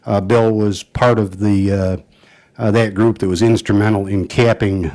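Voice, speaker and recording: A man reading out a colleague's record at a county board meeting, pausing often with fillers. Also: on the low side, little pitch movement, darkish tone. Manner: halting, many "uh" and "um" fillers. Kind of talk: formal tribute speech at a meeting.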